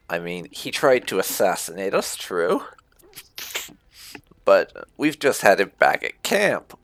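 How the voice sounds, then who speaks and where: A man's voice: lowish voice, fairly bright tone, dry recording. A man giving casual in-character roleplay commentary on a video game, musing wryly with muttered asides. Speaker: gaming channel host.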